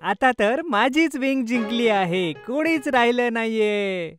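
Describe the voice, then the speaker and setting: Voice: mid-range voice, animated, unhurried, natural voice. A narrator voicing a boastful boy who leads a rival gang in a cartoon story. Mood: gloating. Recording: music playing behind the voice.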